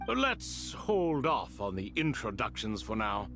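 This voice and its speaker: A playful, wry ancient being with a creaky edge and a very lively, swooping delivery. The phrasing is theatrical and courtly, as in a fantasy game, with music underneath. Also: male voice, lowish voice, slow pace, exaggerated voice. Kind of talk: game voice acting.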